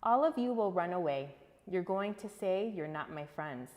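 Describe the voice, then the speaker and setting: A woman voicing a calm, authoritative male teacher in a children's story, speaking in a measured, solemn way. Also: fairly low pitch, in a large hall, close to an everyday voice. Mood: solemn warning.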